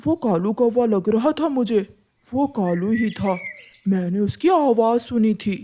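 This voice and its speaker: Woman as a worked up pigeon guy in a kids cartoon, suspicious, insistent, highish voice. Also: lively intonation, unhurried, dark tone, a little hiss or hum. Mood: worried. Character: agitated, suspicious male pigeon. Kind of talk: children's cartoon story acting.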